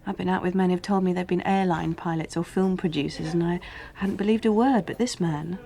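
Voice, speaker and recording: A worldly, guarded woman in a radio drama, her voice sitting on the low side. She plays an escort who speaks candidly and wryly, keeping things matter-of-fact while staying wary. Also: fairly quick, gravelly, mellow. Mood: wry, impressed. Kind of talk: naturalistic radio drama acting.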